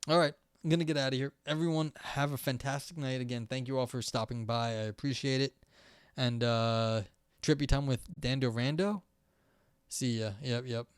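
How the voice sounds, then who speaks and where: A young man talking loosely to his stream chat, full of restarts, filler words and half-finished thoughts. His voice is smooth and clear but starting to wear hoarse. The sound is dry and close, with no room echo. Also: mid-range voice, steady tone, moderate pace. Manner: rambling, many fillers and restarts. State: voice going hoarse. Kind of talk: casual live-stream chat.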